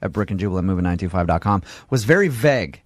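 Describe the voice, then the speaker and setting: A man hosts casual radio call-in banter at a brisk clip, his pitch moving in lively swings. He is blunt and likes to tease. The sound is very dry and close. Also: dry recording. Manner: blunt, teasing. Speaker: radio show host.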